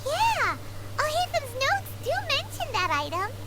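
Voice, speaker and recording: A woman voicing a small, childlike, chirpy and jittery fairy companion in a video game, squeaky and inquisitive. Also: wildly swooping pitch, unhurried, heavy background noise, heavily acted. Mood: businesslike. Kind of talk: English video game voice acting. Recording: loud game music behind.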